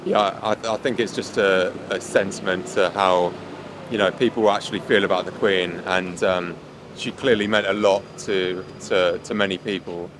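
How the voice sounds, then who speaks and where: A man with a very deep voice speaks hesitantly and fills his speech with ums. The street interview is recorded outdoors, and loud street noise sits behind him. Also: fairly even intonation, moderate pace. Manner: hesitant, many fillers. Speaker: member of the public.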